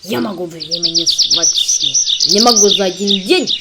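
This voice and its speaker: A woman voicing an earnest, long-winded cartoon character who explains things in a halting, pedantic way. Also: on the low side, very noisy, natural voice. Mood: earnest, explaining. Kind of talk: children's cartoon acting.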